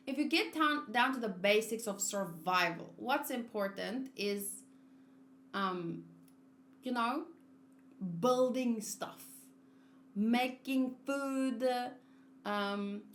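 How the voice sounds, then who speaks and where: A woman game streamer giving casual commentary, musing aloud in a halting, conversational way. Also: fairly high pitch, a bit bright. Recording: roomy sound over faint game music.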